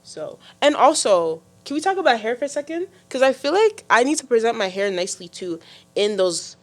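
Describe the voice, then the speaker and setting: A teenage girl with a deep voice, hosting a youth podcast in casual talk, full of fillers and restarts. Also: sing-song, a little fast. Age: teens. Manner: chatty, many fillers and restarts. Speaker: podcast host. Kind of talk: casual discussion.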